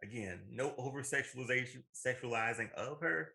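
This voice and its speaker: Guy co-hosting a casual podcast, bright punchy voice, rambles and corrects himself a lot. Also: mid-range voice, a little fast, creaky. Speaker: podcast co-host. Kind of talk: casual animated movie discussion.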